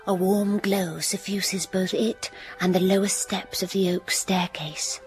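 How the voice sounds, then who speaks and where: A young actress playing a quiet, restrained governess in a radio drama, narrating in a hushed voice. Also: female voice, medium pitch, steady tone, measured pace, faint music behind, lightly acted. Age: young adult. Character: plain, reserved young governess.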